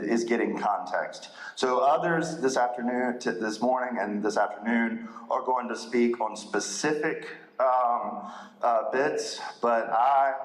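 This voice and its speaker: Big-voiced male historian lecturing in a church hall, casual and chatty, mid-pitched, roomy echo. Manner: conversational, many fillers, jokes with the audience. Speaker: historian giving a talk. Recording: echoey hall.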